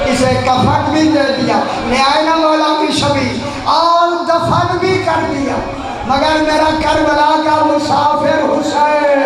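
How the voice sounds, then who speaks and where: A man delivering an impassioned mourning sermon, wailing and shouting with a chant-like lament. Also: very high voice, background noise. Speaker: religious mourning preacher. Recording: very echoey hall.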